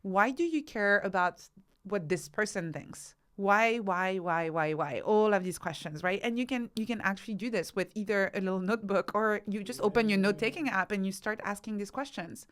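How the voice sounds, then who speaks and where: A woman's voice: mid-range voice, moderate pace, fairly bright tone, clear, resonant tone. A woman giving explanatory interview answers as a podcast guest, teaching through rhetorical questions and examples. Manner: instructive, uses rhetorical questions and acted-out examples. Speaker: podcast guest, researcher and author.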